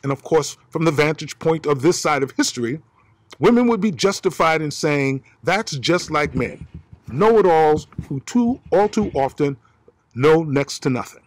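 A man in his fifties preaching a sermon outdoors as pastor, with dramatic emphasis and slow stresses, filmed on a camera held at arm's length. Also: on the high side, animated, dry recording, rough recording. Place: outdoors by pine trees. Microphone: phone or camera at arm's length.

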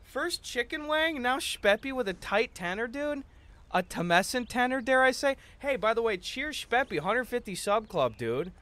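Young male game streamer, lively swooping delivery, slangy and profane, a bit worn-down, casual chat with viewers. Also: very high-pitched, normal speed, no echo. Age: young adult. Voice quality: tired, slightly worn-down voice. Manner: slangy, jokey, profane, often says "dude" and "bro". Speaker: livestream host. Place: indoor room at a streaming setup.

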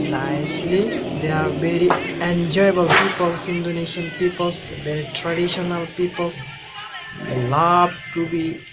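Effusive Bangladeshi man, noisy recording. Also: fairly high pitch. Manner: effusive, repetitive, halting phrases. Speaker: reaction vlogger. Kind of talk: excited reaction commentary.

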